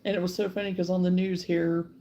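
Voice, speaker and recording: A woman's voice: fairly low pitch, flat delivery, dark tone. A middle-aged woman hosting a casual live stream from her rural home, chatting and drawing out her greetings to viewers. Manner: chatty, drawn-out greetings to commenters. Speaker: host of a live crochet stream.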